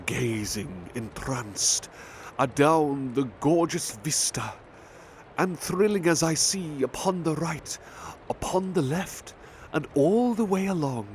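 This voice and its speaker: Slow, soft-spoken man reading poetry. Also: mid-range voice, slight background noise. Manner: soft, lingering, expressive recitation. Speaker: ASMR butler roleplay reader.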